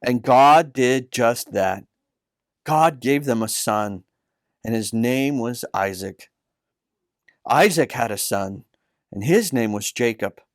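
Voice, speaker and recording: A man reading a scripted children's Bible story as its narrator, in a measured, earnest manner. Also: medium pitch, lively intonation, no echo, studio quality.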